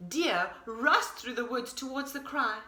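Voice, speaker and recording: A woman teacher reading a children's story expressively, pausing to explain things to young listeners. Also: a little high, slight background noise. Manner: animated, explanatory. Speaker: pre-primary teacher, storyteller. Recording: roomy echo.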